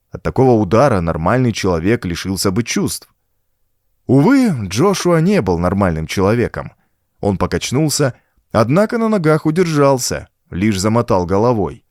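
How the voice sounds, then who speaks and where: A man reads a horror tale in a dramatic, suspenseful way. His fairly low voice swings widely in pitch as he builds each scene, and the recording is dry and close. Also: no echo. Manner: expressive, suspenseful storytelling. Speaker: audiobook narrator. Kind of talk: dramatic scripted narration of a horror story.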